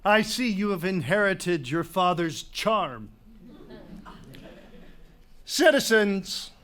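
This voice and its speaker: A man's voice: high-pitched, a bit bright, clean tone, full character voice. An actor playing a stern, proud, authoritarian king in a dramatic reading of a Greek tragedy, imperious and interrogating.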